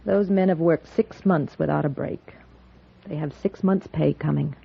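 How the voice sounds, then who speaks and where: An actress playing a weary, dutiful, determined frontier doctor in an old radio western. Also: female voice, sits low, moderate pace, vocal fry, slightly rough, heavily acted. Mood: grave, urgent. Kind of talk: 1950s radio western acting. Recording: dull old radio recording.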